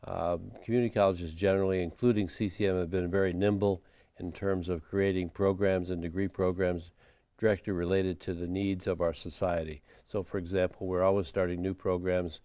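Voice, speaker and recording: A middle-aged man answering interview questions as a college administrator, in a measured, formal way with frequent fillers and restarts. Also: low voice, level tone, warm tone, dry recording.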